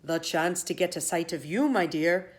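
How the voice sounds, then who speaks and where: A woman's voice: lowish voice, moderate pace, lightly acted. A woman voicing an honest giant husband in a put-on Irish accent while reading a storybook. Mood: tender.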